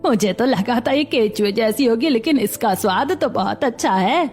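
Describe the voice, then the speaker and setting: A woman's voice: a little high, lively intonation, normal speed, a little mellow, faint music behind, natural voice. Woman playing a cautious, bossy old Indian grandmother. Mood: pleasantly surprised. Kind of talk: Hindi cartoon story acting.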